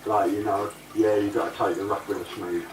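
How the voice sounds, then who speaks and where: A man's voice: lowish voice, flat delivery, brisk. A man chatting casually as a newcomer to a chat app. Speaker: new CB chat app user. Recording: echoey, distant, clearly noisy playback.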